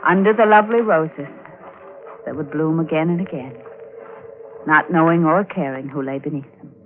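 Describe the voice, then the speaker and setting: An actress playing a genteel, secretly murderous niece with a dramatic, suspenseful delivery, in the style of 1940s radio melodrama. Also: female voice, low-pitched, normal speed, narrow, tinny audio, natural voice. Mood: eerie, calm. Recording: band-limited vintage radio recording, music behind.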